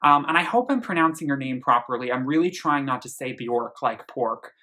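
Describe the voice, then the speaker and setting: A man talks through an album review in a loose, chatty vlog style, with an enthusiastic, gushing tone as he reaches for words. His voice sits at a middle pitch and has a bright, forward tone. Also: lively intonation, fairly quick. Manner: chatty, frequent fillers and restarts. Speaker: music reviewer.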